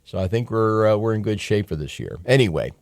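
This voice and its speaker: A man's voice: lowish voice, animated, brisk, darkish tone, no room sound, studio quality. A middle-aged American man hosting talk radio, giving a casual, opinionated monologue in a folksy, conversational way with emphatic asides. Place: radio studio. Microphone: close studio microphone.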